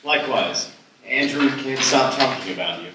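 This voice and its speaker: A man acting a doubtful, quietly exasperated friend in his thirties at a live table read, hesitant and dry. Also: mid-range voice, lively intonation, normal speed, lightly acted. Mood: dry, restrained. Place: live festival stage reading before an audience. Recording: echoey hall, distant mic, audience noise.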